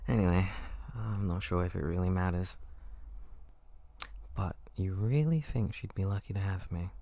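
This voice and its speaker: A man voicing an excitable, affectionate young college best friend in an intimate ASMR roleplay, playful and prone to gushing rambles. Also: low voice, moderate pace, creaky, no room sound. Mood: flustered, hopeful.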